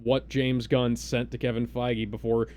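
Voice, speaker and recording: A man hosting a live stream, casually explaining things in a halting, rambling way with mock-serious asides. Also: medium pitch, flat delivery, a little mellow, clear, resonant tone. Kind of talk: casual live introduction, explaining party game rules.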